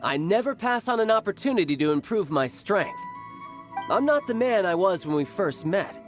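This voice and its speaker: A man's voice: high-pitched, sing-song, moderate pace, music in the background. A man voicing a playful, battle-hungry young military commander in game dialogue, confident and teasing. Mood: earnest. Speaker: Harbinger commanding recruits.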